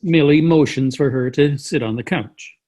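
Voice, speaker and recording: A man's voice: on the high side, normal speed, mellow. A man narrating, reading out the stage directions of a play in a plain scripted style. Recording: video call play reading.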